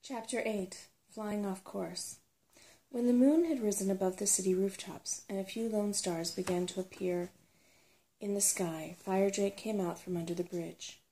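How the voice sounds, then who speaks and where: A woman narrating a storybook in ASMR style, soft, hushed and soothing. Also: mid-range voice, steady tone, moderate pace, poor audio.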